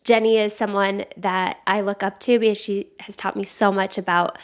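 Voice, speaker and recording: A woman's voice: mid-range voice, level tone, normal speed, clear, resonant tone. A woman hosting a podcast, talking in a casual interview style. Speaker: podcast host.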